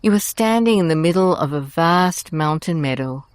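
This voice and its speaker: A woman host narrating a scripted guided meditation in a soothing, deliberate manner, leaving long pauses between short phrases. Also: sits low, lively intonation, clean tone, no room sound.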